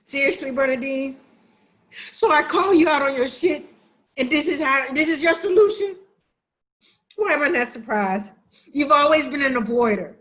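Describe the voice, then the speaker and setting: Actress as a high-voiced, wounded, defiant sister. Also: female voice, expressive, normal speed, long pauses, a bit bright. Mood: bitter, resolute. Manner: accusing, emphatic, sassy. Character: wounded, defiant younger-minded sister confronting her sibling. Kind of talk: dramatic play reading.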